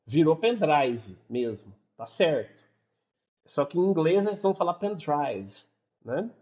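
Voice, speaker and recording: A man's voice: a little high, big pitch swings, measured pace, a little mellow. A man from Brazil with a Brazilian Portuguese accent, explaining a lesson in a casual, chatty way and checking in with listeners after nearly every sentence. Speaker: English teacher. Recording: dull lo-fi recording.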